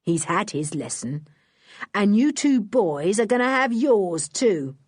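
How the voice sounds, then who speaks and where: A gruff, menacing man who bullies and orders children about, as a woman reading aloud puts him on. His voice is close and dry, with a lively rise and fall. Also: medium pitch, slow pace, dry recording, exaggerated voice. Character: gruff male kidnapper threatening children.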